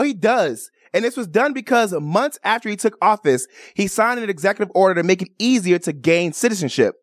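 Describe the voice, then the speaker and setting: American female host, conversational news commentary, swooping expressive delivery, dry close sound. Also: medium pitch, dry recording. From Chicago, United States. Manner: animated, conversational, emphatic, laughs readily. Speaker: talk show host. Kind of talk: upbeat news commentary and chat to listeners.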